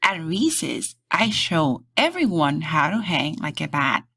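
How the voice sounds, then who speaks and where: Unhurried, expressive woman reading a children's story. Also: deep voice, breathy edge. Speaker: children's book reader. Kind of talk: expressive picture-book read-aloud.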